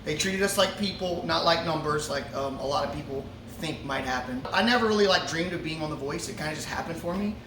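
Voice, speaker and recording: A relaxed male musician chats through fan questions, joking and stumbling over fillers. He stands a little back from the mic, and his voice rings around a roomy brewery with a steady hum of noise behind him. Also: on the high side, fast talker, few pauses. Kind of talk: casual Q&A chat. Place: brewery before a show. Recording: roomy echo, from a distance, ambient noise.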